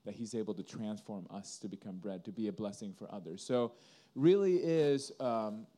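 Guy from indonesia, band musician doing a casual interview, mellow voice, earnest storyteller. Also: lowish voice, moderate pace.

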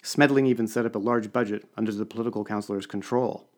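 A man's voice: lowish voice, steady tone, quick pace, darkish tone, natural voice. A man voicing an irritable senior male diplomat in an audiobook, terse and disdainful. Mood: curt.

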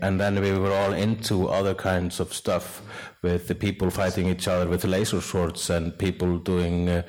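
A man's voice: sits low, little pitch movement, normal speed, darkish tone, rough recording. A man whose first language is Icelandic, hosting a live podcast and telling rambling jokey stories in English. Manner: rambling, joking, laughing storyteller. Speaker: podcast host. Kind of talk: live podcast chat with audience questions. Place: live event stage.

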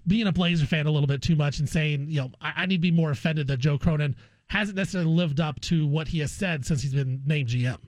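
A man with a slightly high voice hosts a sports radio interview. He talks conversationally and informally, addressing his guest like a buddy. The sound is dry and close, with very little room on it. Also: no echo.

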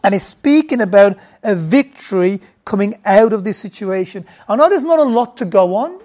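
A woman teaches a Bible passage in a loose, chatty way, stumbling over restarts and tossing questions to her listeners. Her voice sits at an ordinary middle pitch. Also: animated, normal speed, mellow. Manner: informal, stumbling restarts, asks the audience questions. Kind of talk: conversational Bible teaching to a group.

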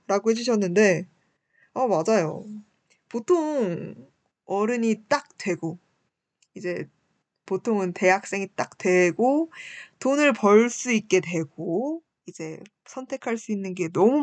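A woman's voice: medium pitch, no echo. A woman in her twenties hosting a show, talking reflectively and politely in a candid, self-deprecating way as she shares personal thoughts. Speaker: radio-style show host and university student. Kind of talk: personal reflective talk, reading listener messages.

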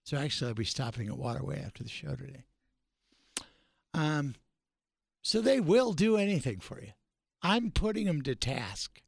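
A man's voice: on the high side, normal speed, creaky, long pauses, slightly rough, no room sound, studio quality. A man hosting a radio talk show, chatting casually and telling jokey stories. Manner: lively storyteller, jokey. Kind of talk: casual chat.

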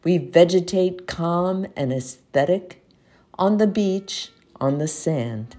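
A woman recites verse in a slightly low voice. She takes her time over each phrase, and her intonation is animated and expressive. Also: slow, soft background music. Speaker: community poetry reader. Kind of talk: poetry recitation.

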